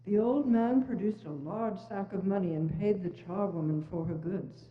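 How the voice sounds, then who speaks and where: A woman's voice: mid-range voice, moderate pace. A woman narrating a story in a scripted dramatic reading. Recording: echoey church hall, dull sound.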